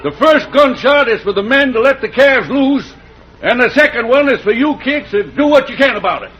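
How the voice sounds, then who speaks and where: An actor playing a folksy country sheriff with a rural American accent in an old-time radio western. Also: male voice, very high-pitched, lively intonation, a little fast, highs cut off. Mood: commanding. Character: folksy country sheriff barking rustic orders. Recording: noisy old radio recording.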